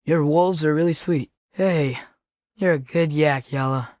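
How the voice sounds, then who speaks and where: A man's voice: a little high, measured pace, darkish tone, no echo, close to an everyday voice. Earnest teenage boy adventurer, acted by a young man, dry studio sound. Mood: gentle, awkward. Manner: hesitant, earnest, boyish. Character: earnest, curious, impulsive teenage boy adventurer in Norse myth. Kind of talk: naturalistic video game voice acting.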